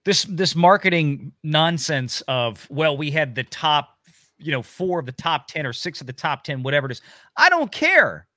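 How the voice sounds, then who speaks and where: Bright, animated man hosting a panel. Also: fairly high pitch, moderate pace, no echo, clean studio sound. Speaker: panel host. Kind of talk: casual live discussion.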